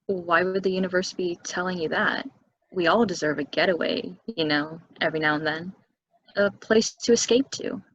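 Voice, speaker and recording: Casting: actress as solitary small-town young woman, low husky voice, calm and matter-of-fact, stage drama read. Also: moderate pace, no echo, dull audio. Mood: gently curious. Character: Cheryl, calm, solitary young local woman who knows the house's legend. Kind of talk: stage play table reading.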